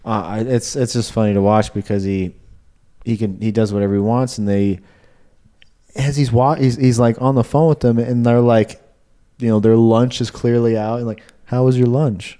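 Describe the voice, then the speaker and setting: A man's voice: mid-range voice, fairly even intonation, moderate pace, warm tone. A man co-hosting a podcast, chatting in a casual, informal and laid-back way. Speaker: podcast co-host. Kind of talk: casual chat.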